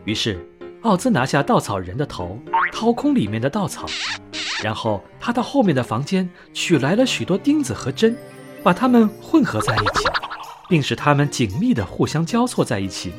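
A man narrating a scripted bedtime story for children in an expressive, clearly phrased way. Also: on the high side, moderate pace. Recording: soft music under narration.

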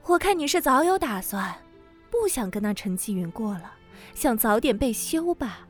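A woman's voice: on the high side, very animated, moderate pace, soft background music. A woman playing a haughty, spiteful married lady who sneers sarcastically in a Chinese period audio drama. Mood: sneering.

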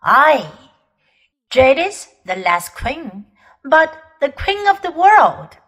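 A woman's voice: sits high, sing-song, takes it slowly, a bit bright, heavily acted. A woman reading aloud, voicing a proud, ruthless ancient queen who declaims grandly and looks down on common people. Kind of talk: audiobook character reading.

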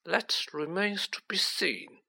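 Storybook villain , played by a man: icy aristocrat, silky and superior, unhurried, higher-voiced. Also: big pitch swings, bright, forceful tone, exaggerated voice. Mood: smug, cold. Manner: smooth, drawling, condescending. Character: smooth, sneering, cold aristocratic male villain.

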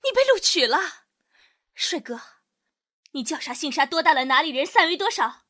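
Casting: actress as short-tempered woman proprietor, radio drama; high-pitched, bright, curt and bossy. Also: very animated, moderate pace, dry recording, studio quality. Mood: flirty. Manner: bossy, curt, sharp-tongued. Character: brash, short-tempered female shop boss, flirty with handsome men.

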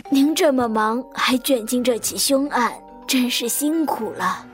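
Actress as polite, high-voiced, observant lady. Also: expressive, a little slow. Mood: curious, polite. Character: polite, observant lady guest, quietly probing. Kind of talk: radio drama acting. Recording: music behind the voice.

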